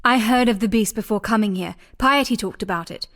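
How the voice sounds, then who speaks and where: A woman playing a wary, sharp-tongued exiled former captive in scripted video game dialogue, dry and teasing. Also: mid-range voice, fairly quick, close to an everyday voice. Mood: grave.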